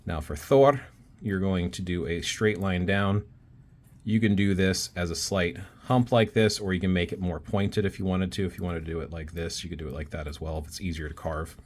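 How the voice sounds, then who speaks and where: A man with a deep voice walks through a hands-on lesson in a relaxed, unpolished way. He talks while he draws each shape and often thinks aloud as he goes. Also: normal speed. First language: not Icelandic. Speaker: self-taught rune hobbyist teaching. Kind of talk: casual step-by-step tutorial.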